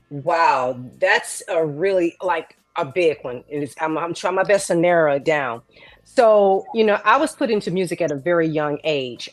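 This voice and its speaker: A woman's voice: on the low side, no echo. A woman, chatting casually and playfully as a guest. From Texas, United States. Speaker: guest, saxophonist. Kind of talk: casual chat. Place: indoors, virtual show video call.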